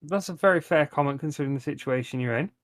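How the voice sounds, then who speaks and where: Blunt male gamer, slightly high voice. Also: quick pace, no echo, clean studio sound. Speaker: tabletop game player. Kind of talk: casual game-table chat.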